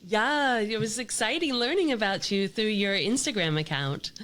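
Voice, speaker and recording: A woman's voice: mid-range voice, moderate pace, fairly bright tone. A woman hosting a podcast interview, upbeat and sprinkling Spanish words into her English. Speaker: podcast host.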